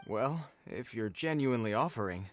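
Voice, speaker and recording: An actor playing a dutiful, cautious town guard in a video game, speaking formally and in a measured way. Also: male voice, medium pitch, lively intonation, a little hiss or hum, natural voice. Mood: polite, hesitant. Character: earnest, dutiful adult male town guard on patrol. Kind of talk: video game character dialogue. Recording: music clearly behind voice.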